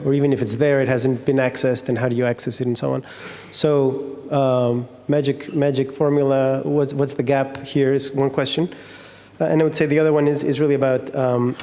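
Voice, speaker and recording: Man moderator, mellow and flat, rambling questions, echoey hall. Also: mid-range voice. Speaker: panel moderator. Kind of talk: moderating a panel discussion.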